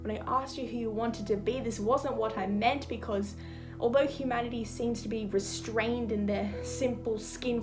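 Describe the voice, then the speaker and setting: Female spoken-word poet, higher-pitched, rhythmic and theatrical in telling a rhymed story, clear music bed underneath. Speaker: slam poet. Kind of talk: slam poetry recitation.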